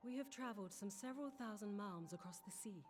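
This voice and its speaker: A woman's voice: medium pitch, brisk, soft background music, natural voice. A woman playing a solemn refugee from a far nation in a fantasy game, speaking formally and with measure. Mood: solemn, hopeful. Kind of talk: scripted video game acting.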